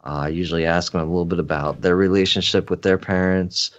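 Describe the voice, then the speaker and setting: A man with a very deep voice talks in an easygoing, earnest way as a podcast guest, with plenty of ums and uhs. The recording sounds a little dull and muffled. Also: steady tone, fast. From Philadelphia area. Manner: easygoing, filler-heavy, earnest. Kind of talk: casual chat.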